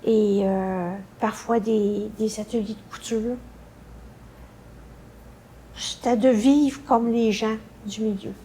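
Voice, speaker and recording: Slow, hesitant older Quebec woman, mellow voice. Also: a little high, steady tone, slight background noise, rough recording. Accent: Quebec French. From Canada. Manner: hesitant, halting, searching for words. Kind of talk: unscripted personal recollection.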